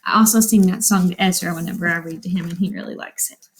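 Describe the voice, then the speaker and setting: A woman's voice: on the low side, steady tone, quick pace, slight background noise. A woman teacher reading a picture book aloud to children, explaining as she goes and asking questions that she then answers. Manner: instructive, asks questions and answers them. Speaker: elementary school teacher.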